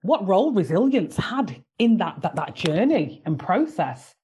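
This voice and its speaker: A woman's voice: mid-range voice, sing-song, unhurried, breathy edge. A woman podcast host and psychotherapist who welcomes her guest and asks questions in an encouraging, conversational way, often adding small affirming sounds. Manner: warm encouraging questions, frequent backchannel. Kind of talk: conversational interview hosting.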